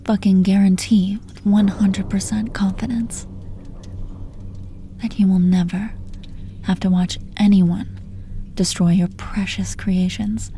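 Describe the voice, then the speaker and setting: A woman playing a menacing yet tender, possessive supervillain in an intimate audio roleplay, speaking in a sultry, deliberate way with pet names for the listener. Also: mid-range voice, flat delivery, dark tone, a little hiss or hum, close to an everyday voice. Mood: intense hushed tenderness.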